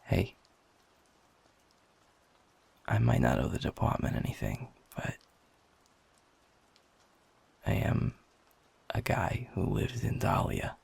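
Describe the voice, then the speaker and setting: A man voicing a feisty, flirtatious werewolf in an intimate audio roleplay, gruff, cocky and blunt, with a gravelly, husky voice. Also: low voice, very animated, normal speed, darkish tone, exaggerated voice. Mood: sincere, wary. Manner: swearing, teasing, informal and blunt.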